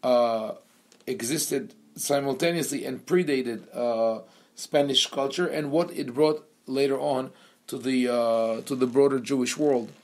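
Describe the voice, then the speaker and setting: A man born in Israel, a rabbi lecturing a seminar class, with many pauses, fillers and restarts. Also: medium pitch.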